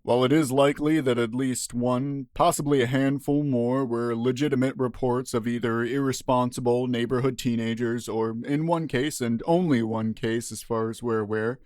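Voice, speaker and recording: Police detective, audio drama, male actor: stern, formal, medium pitch, studio-dry sound. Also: fairly even intonation, a little mellow, no room sound, natural voice. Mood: dismissive. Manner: formal, measured, official. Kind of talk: audio drama news interview.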